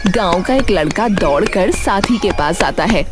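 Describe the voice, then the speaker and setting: A woman's voice: mid-range voice, animated, unhurried, over music. A woman delivering scripted narration for a cartoon story.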